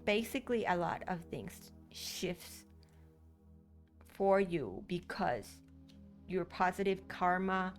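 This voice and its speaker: A woman with a Thai accent whose first language is Thai, giving a casual card reading and rambling with many fillers and restarts. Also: on the low side. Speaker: tarot card reader.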